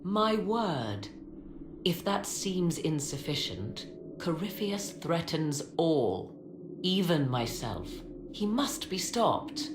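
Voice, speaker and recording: A proud sorceress adviser in a fantasy game. Her voice is on the low side and slowly measured, swinging widely in pitch as she speaks with imperious formality. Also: very animated, noisy background, full character voice. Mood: solemn. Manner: formal, deliberate, imperious diction. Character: proud, scholarly sorceress adviser, haughty and determined. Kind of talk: video game cutscene acting. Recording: echoey game mix with music behind. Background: music.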